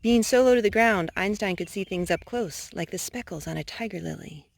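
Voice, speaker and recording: Female, mid-pitched, expressive mother reading and singing for little children. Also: a little fast, creaky. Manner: expressive, speaking to small children with pet names. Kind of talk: sing-song children's storytime with read-aloud and songs.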